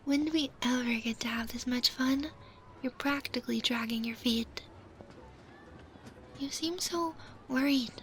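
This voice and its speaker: A woman's voice: high voice, unhurried, long pauses, slight background noise. A woman playing a clingy, obsessive, unhinged yandere girl in an ASMR roleplay, dramatic and pleading, drawing out her words. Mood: sweetly clingy.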